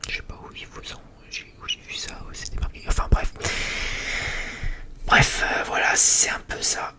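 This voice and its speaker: Whispering ASMR creator, rambling and hesitant. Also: normal speed. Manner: rambling, hesitant, often repeating words. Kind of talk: whispered ASMR casual chat.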